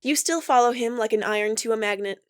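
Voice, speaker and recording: High-voiced woman reading a story, closely recorded. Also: quick pace, smooth, no echo, studio quality. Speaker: podfic voice actor. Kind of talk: reading fan fiction aloud with acted dialogue.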